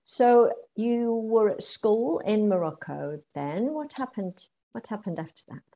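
A woman's voice: medium pitch, a little slow, warm tone. A woman hosting a podcast, asking questions in an encouraging, guiding way. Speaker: podcast host. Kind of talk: interview questions.

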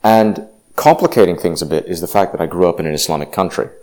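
A man's voice: deep voice, fast, a little mellow, soft background music. A man giving a reflective personal testimony, hesitant and full of fillers and restarts. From grew up partly in Indonesia.

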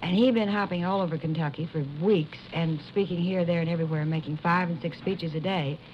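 Woman with a lowish voice chatting through a story, old muffled radio tape with some hiss. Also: fairly even intonation, brisk. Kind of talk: anecdote told in a relaxed conversation. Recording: dull noisy old radio recording.

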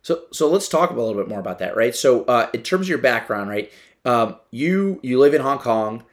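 A man's voice: medium pitch, very animated, normal speed. A man hosting a podcast, talking casually with lots of fillers and tag questions. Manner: loose, filler-heavy, tag questions like "right?". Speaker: podcast host. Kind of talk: casual conversation.

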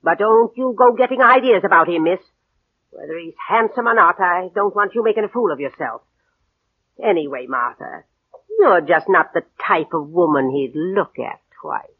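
An actress playing a vain, demanding old invalid aunt in 1940s radio drama, querulous, condescending and coquettish. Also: female voice, fairly low pitch, expressive, normal speed, narrow, tinny audio. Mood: vain, belittling. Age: older. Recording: husky band-limited old radio recording.

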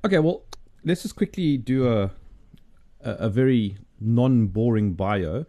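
A man interviewing a guest, hesitant and halting with many restarts. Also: medium pitch, lively intonation, warm tone. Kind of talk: interview conversation.

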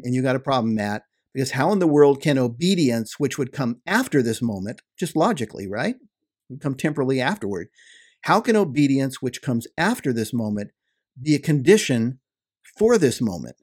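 A man's voice: mid-range voice, husky. A man co-hosting a podcast, earnestly explaining theology and restating his points for emphasis. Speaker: podcast co-host. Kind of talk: theological conversation.

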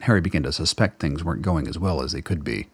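A man reads scripted narration for a radio play. His voice is exceptionally deep and husky. The sound is close and dry, with no room around it. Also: quick pace, dry recording.

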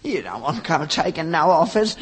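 A man's voice: fairly high pitch, big pitch swings, brisk, breathy edge. An actor playing a wheedling, self-pitying old rag-and-bone man with a working-class London accent, whining and pleading melodramatically in a sitcom. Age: older. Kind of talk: scripted sitcom acting. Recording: echoey hall, noisy archive recording.

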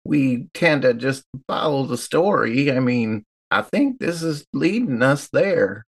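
A woman's voice: a bass voice, expressive, slow, slightly rough. A woman playing a tabletop game, talking casually as she improvises. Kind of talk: casual improvised role-play.

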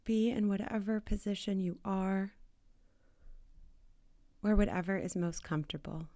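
A woman guides a meditation at a very unhurried pace. Her voice is dark and relaxed, soothing, with soft breathy phrase endings and long pauses. The recording is close and dry. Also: mid-range voice, no room sound.

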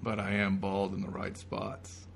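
A man's voice: low-pitched, monotone, a little slow, vocal fry, a little hiss or hum. A man cracking crude, irreverent jokes as he riffs over a comic film, sometimes slipping into silly voices. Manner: breaks into silly put-on voices and noises. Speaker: comedy riffer. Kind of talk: joking riff commentary over a comic film.

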